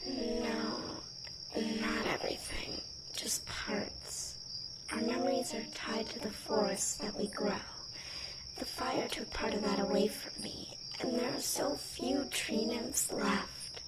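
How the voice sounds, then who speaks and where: A small forest spirit, played by a woman, speaks in a light, breathy, measured voice with feisty loyalty. She sounds set back from the mic in strong echo. Loud crickets and insects fill this fantasy roleplay track. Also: on the high side, fairly even intonation. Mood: wistful. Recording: distant, echoing, noisy nature-ambience track.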